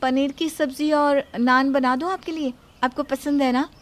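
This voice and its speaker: A plaintive young daughter-in-law, voiced by a woman narrator in a moral story. Her voice is very high and insistent, always justifying herself and shifting the blame. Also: fairly even intonation, normal speed, close to an everyday voice. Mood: polite. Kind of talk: acted dialogue in a Hindi moral story.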